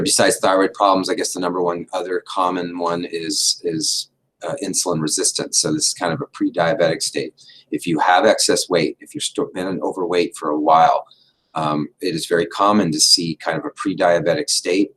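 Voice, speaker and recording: A middle-aged man, a doctor hosting a livestream, explaining in an unhurried, casual way with many fillers, his voice a little hoarse as he is losing it. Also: low voice, steady tone, fairly bright tone, a little hiss or hum, rough recording.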